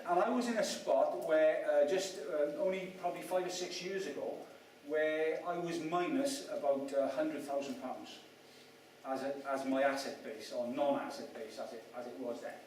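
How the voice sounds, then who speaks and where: A man's voice: a little high, steady tone, normal speed, darkish tone, a little hiss or hum. A Welsh-raised man giving a chatty, self-mocking talk to a live audience and asking them questions. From Wales. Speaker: speaker at a property event. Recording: echoey room, mic at a distance.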